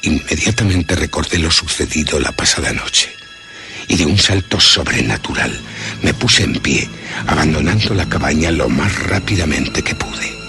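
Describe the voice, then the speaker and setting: A man's voice: extremely low pitch, lively intonation, over music. A man playing a haunted narrator who solemnly recalls a monstrous attack in a radio horror drama. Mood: grave, uneasy. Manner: ornate, solemn, deliberate storytelling.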